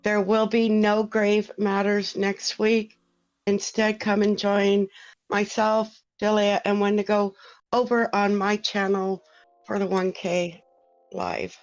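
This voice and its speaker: A woman hosting a casual podcast, unhurried and friendly as she welcomes guests. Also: medium pitch, little pitch movement. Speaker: podcast host. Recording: dull lo-fi sound with faint music.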